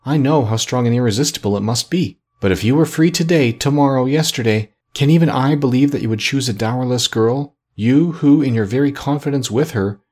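A man reading an audiobook, voicing a gentle, sorrowful young woman who speaks with earnest, measured reproach. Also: mid-range voice, pitched above the performer's own voice, lightly acted. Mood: resigned, sorrowful.

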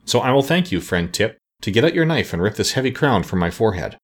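A man voicing a mild, thoughtful scarecrow and former king from a children's storybook, reasoning in a measured, courteous way. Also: lowish voice, sing-song, breathy edge, pitched above the performer's own voice. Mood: gracious, reflective.

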